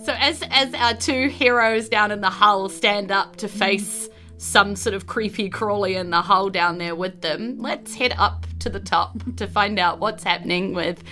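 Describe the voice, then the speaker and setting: A woman's voice: mid-range voice, unhurried, bright, forceful tone, faint music behind. A woman with a New Zealand accent, narrating a tabletop game as game master.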